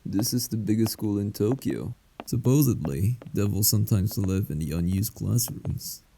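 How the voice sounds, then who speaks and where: Man voicing laid-back teenage boy, comic dub, relaxed dark tone, vocal fry, casual. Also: on the low side, normal speed, slight background noise. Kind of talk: voice acting in a comic fan dub.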